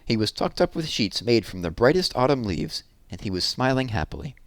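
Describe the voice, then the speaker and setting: A man reading a children's picture book aloud in a gentle, expressive storytelling manner. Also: medium pitch, a little fast, no echo.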